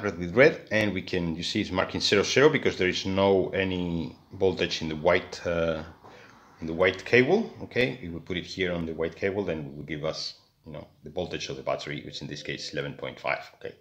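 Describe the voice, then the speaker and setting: Very deep-voiced man explaining a repair. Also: fairly bright tone. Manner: hesitant, many fillers and restarts. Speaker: electronics hobbyist. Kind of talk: hands-on repair tutorial. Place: indoors at a workbench.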